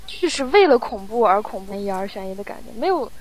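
A woman's voice: medium pitch, big pitch swings, fast, slight background noise. A woman taking part in a casual panel discussion about an audio drama.

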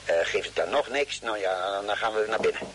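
An actor playing a careful, concerned ship's doctor in vintage radio drama style. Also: male voice, lowish voice, lively intonation, a little fast, natural voice. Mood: dry, doubtful. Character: cautious, concerned ship's doctor on Mars expedition. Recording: crackly old radio recording.

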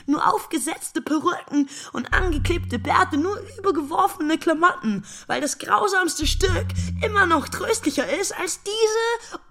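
A woman's voice: very high-pitched, lively intonation, a little slow, exaggerated voice. An actress playing a bitter, lovesick woman worn down by endless waiting, in an intense radio drama monologue. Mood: agitated, desperate. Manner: intense, brooding, building from hushed to agitated.

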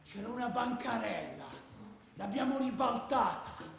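A fervent male football hooligan in a radio play. His voice is very high and creaky, emphatic and quick to boil over, in a heavily reverberant room. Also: background noise, exaggerated voice. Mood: excited, indignant. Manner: excitable, emphatic, bursts into shouting. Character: fervent male football ultra recounting a street brawl. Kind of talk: dramatised first-person narration, radio play. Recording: very echoey room.

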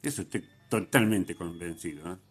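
A man with an Argentine accent hosting a radio show, giving casual music commentary in a rambling, halting way with repeated words and tag questions. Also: low-pitched, husky. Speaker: radio host.

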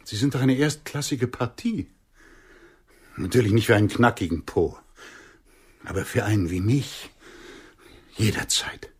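A man's voice: fairly low pitch, unhurried, long pauses, close to an everyday voice. An actor playing a bitter, cutting homeless man in a radio play, speaking in a blunt, theatrical and insulting way.

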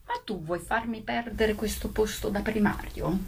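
An actress playing a domineering, self-important senior hospital doctor in satirical stage dialogue, emphatic and dismissive with drawn-out words. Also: female voice, mid-range voice, gravelly, a little mellow, slight background noise, lightly acted. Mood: indignant.

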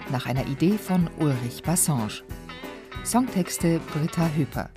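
A woman announcer calmly reading the credits of a radio play. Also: low-pitched. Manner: calm, even credit reading.

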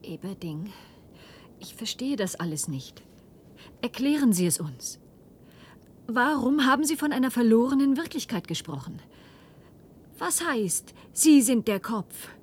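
A woman's voice: on the high side, big pitch swings, measured pace, pauses often, a little hiss or hum. An actress playing an urgent, bewildered woman who questions insistently in a science-fiction radio drama.

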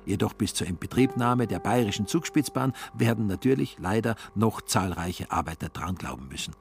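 A man's voice: fairly low pitch, expressive, moderate pace, vocal fry, soft background music. A man narrating a literary radio play as a scripted story.

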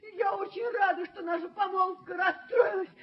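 An actress playing a proud, easily wounded young fiancée in a radio play, speaking with theatrical, imperious emotion. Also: female voice, very high-pitched, fairly even intonation, normal speed. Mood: furious, tearful. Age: young adult. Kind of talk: Soviet radio play acting. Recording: dull, muffled 1970s radio recording.

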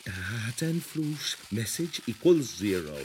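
An actor playing a brooding radio announcer of hell in an experimental radio drama, speaking gravelly, halting fragments that switch between German and English. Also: mid-range voice, very animated, slight background noise. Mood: incantatory, intense. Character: brooding bilingual male announcer of a radio inferno.

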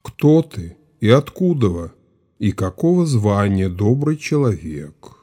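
An actor playing a stern, suspicious older Cossack with a weighty, commanding delivery in an audio drama. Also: male voice, on the low side, very animated, slow, warm tone, soft background music, exaggerated voice. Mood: grave, probing. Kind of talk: theatrical audio drama acting.

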